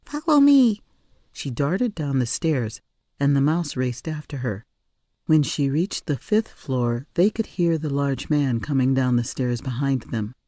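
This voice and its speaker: A woman narrating a story in an expressive audiobook reading style. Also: a bass voice, unhurried, dry recording, clean studio sound. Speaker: author or reader narrating a story.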